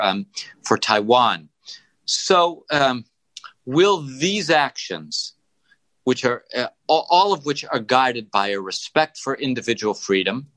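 A man answering as a policy guest in an interview, speaking formally and deliberately in halting phrases that he often repeats. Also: lowish voice, pushed and bright, no echo. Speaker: guest, government policy official.

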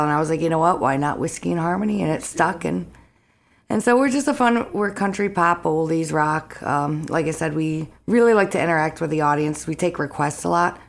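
A woman singer with a raspy voice, chatting upbeat and laughing easily as a guest on a talk show. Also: deep voice. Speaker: singer and band leader, guest. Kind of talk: casual interview chat.

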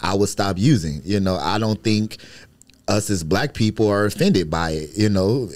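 A man in a casual podcast chat, talking in a relaxed way and often asking "you know what I'm saying". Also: lowish voice, moderate pace.

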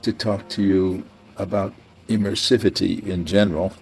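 A man's voice: deep voice, fairly even intonation, normal speed, darkish tone, a little hiss or hum. A man hosting an interview podcast, speaking as presenter and interviewer. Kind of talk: scripted introduction, then unhurried interview talk.

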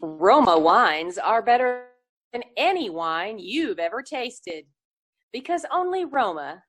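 A woman announcer reading a scripted, promotional commercial in 1940s radio style, with deliberate delivery. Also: medium pitch, a bit bright.

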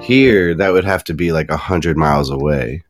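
A man with a low voice chats casually as a co-host. He has a joking way of talking, and music plays softly in the background. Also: lively intonation, normal speed. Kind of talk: casual chat.

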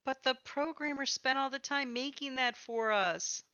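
A woman's voice: high voice, normal speed, muffled recording, crowd noise. A woman hosting a casual, chatty podcast about tabletop gaming, with a playful way of talking. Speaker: podcast host and interviewer.

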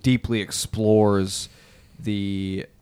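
A man co-hosting a casual podcast, bantering about favourite films in an animated, opinionated way. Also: lowish voice, very slow, background noise. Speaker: podcast co-host.

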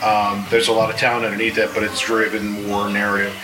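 A man's voice: on the low side, completely flat delivery, quick pace. Distant, echoey man on a panel. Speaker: audio drama series creator and writer on a panel. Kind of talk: casual panel talk. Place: live convention panel. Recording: echoey, distant mic with clear noise.

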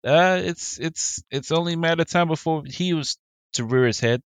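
A man's voice: fairly high pitch, moderate pace, no echo. A man talking casually as a panelist in a group discussion. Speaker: podcast panelist. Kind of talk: casual chat.

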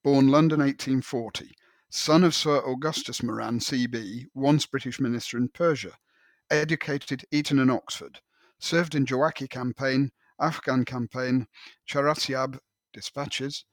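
A man's voice: mid-range voice, no room sound. Man in a dry, close-sounding literary chat. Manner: hesitant, halting delivery with repeated words. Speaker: podcast host. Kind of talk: conversational literary discussion.

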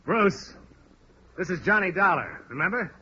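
Dogged American radio sleuth, terse and understated, 1950s detective serial, hissy vintage sound. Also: male voice, sits high, sing-song, a little slow, bright, forceful tone, full character voice. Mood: urgent calling. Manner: clipped, hard-boiled, understated. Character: dogged, terse male insurance investigator narrating his case. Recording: muffled, noisy vintage radio recording.

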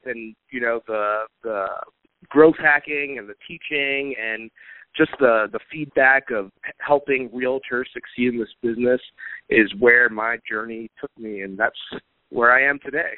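Male podcast guest, easygoing storyteller, mid pitch, dry phone-line audio. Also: normal speed, no echo. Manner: relaxed storytelling, many fillers, laughs easily. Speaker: podcast guest, marketing coach. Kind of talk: casual interview talk.

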